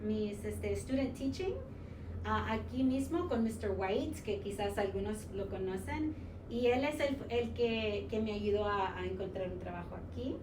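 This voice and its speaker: A woman in her forties from New York, a teacher telling her life story in a casual interview, pausing often with fillers and mixing in English words. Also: mid-range voice. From United States, New York. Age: 40s. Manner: halting, many fillers, slips English words into Spanish. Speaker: social studies teacher, interview guest. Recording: roomy sound from a distant mic with noise.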